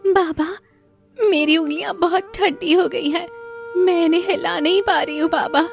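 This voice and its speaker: A woman's voice: very high-pitched, steady tone, moderate pace, gravelly, soft background music. A woman voicing a frightened, freezing little girl in a cartoon story, plaintive and pleading. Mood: scared, plaintive.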